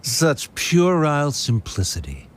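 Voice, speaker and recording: An actor playing a haughty, aloof, dryly condescending scholar in a video game. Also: male voice, on the high side, huge pitch swings, measured pace, slight background noise. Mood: condescending, relieved.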